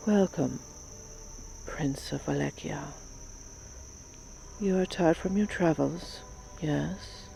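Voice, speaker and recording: A man's voice: on the high side, slow pace, creaky, pauses often, warm tone, slight background noise, voice pushed up, heavily acted, poor audio. A man voicing a mysterious, seductive enchantress in an ASMR audio drama, soothing and formal. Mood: soothing, inviting. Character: mysterious tall enchantress, beautiful, seductive hostess. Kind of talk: ASMR roleplay acting.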